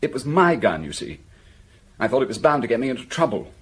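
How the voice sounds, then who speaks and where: A man's voice: medium pitch, very animated, quick pace, husky. An actor playing a self-pitying, shabby middle-aged man in a radio drama, flustered and pleading. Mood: flustered, evasive. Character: shifty, self-pitying, down-at-heel middle-aged old school friend.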